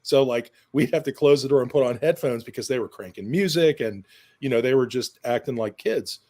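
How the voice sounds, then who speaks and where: A man's voice: mid-range voice, brisk, darkish tone. A man in his fifties on a video call, casually sharing memories as a guest. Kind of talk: casual reminiscing. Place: home room with shelves, video call.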